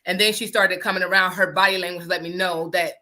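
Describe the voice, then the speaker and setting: A deep-voiced woman from New Jersey hosts a live call-in style podcast, her tone bright and forward. She chats loosely and keeps restarting her sentences as she goes. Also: steady tone, fast talker. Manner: chatty, self-interrupting, restarts sentences. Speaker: podcast host. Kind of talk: casual live podcast chat.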